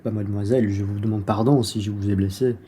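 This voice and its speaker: A man's voice: lowish voice, fairly even intonation, fast, dark tone, husky. A man playing a crafty, self-serving merchant husband in a radio play, familiar and cajoling in manner.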